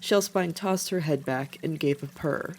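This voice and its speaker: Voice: medium pitch, unhurried. A narrator reading scripted fiction as the storyteller of an animal tale. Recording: faint background noise.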